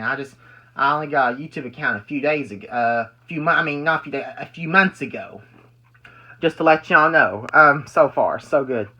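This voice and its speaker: A bright, forward voice with a medium pitch talks through a hobby demonstration. The delivery is casual and rambling, circling back to repeat and restart phrases. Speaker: amateur video maker demonstrating weather radios. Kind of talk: casual hobby show-and-tell.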